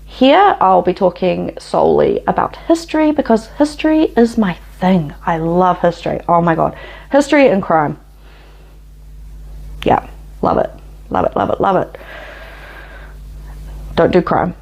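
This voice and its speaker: A woman's voice: lowish voice, very animated, measured pace, vocal fry, long pauses, darkish tone. A woman with a New Zealand accent, hosting her history channel and telling the story in an opinionated, chatty way with frequent laughs.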